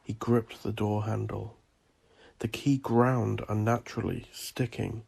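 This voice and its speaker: A man reading dark horror fiction as a narrator, in a brooding, ominous and theatrical manner. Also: fairly low pitch, little pitch movement, unhurried, creaky, breathy edge. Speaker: narrator of a horror audio drama. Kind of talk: dramatic gothic scripted narration.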